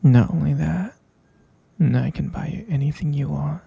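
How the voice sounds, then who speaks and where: A man playing an obsessive, lovesick captor in an ASMR roleplay, tender and possessive, coaxing the listener in a breathy whisper. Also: on the high side, warm tone, no echo, dull audio, full character voice. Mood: eager, coaxing. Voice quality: breathy, mostly whispered, gravelly creak. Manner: slow, coaxing, cooing, insistent.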